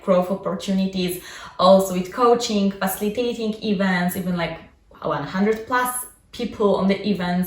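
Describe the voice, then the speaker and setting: A young adult woman whose first language is Polish, talking casually about her own life as a vlogger and coach, with many fillers like "like" and "you know". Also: mid-range voice. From Poland. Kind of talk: casual personal vlog. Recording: echoey room, mic at a distance.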